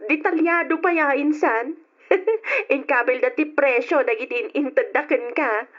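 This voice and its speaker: Actress as a high-voiced, teasing young cousin. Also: female voice, measured pace. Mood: teasing, gossipy. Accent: Filipino, Ilocano. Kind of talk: old-style Ilocano radio drama acting. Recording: dull, lo-fi radio drama recording.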